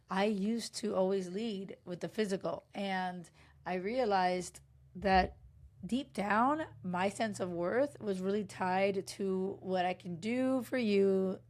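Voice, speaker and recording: A woman in her thirties, hoarse from a cold, giving frank, chatty relationship advice as a coach, with plenty of fillers and restarts. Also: medium pitch, takes it slowly. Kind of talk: casual direct-to-camera advice talk.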